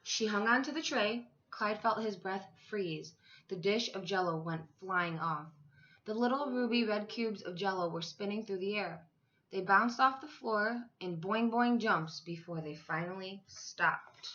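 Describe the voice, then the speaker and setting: A woman's voice: mid-range voice, unhurried, fairly bright tone, highs cut off. A woman reading a children's storybook aloud expressively, like a teacher to her class. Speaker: school teacher reading to third graders.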